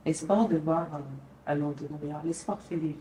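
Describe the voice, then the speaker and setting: An earnest woman speaks as a guest on an interview podcast, reflective and encouraging. Her phrases often fade to a soft close. The sound is heavily reverberant and a little distant, with faint hiss underneath. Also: deep voice, normal speed. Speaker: guest, television journalist. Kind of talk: motivational interview talk. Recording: reverberant room, distant mic.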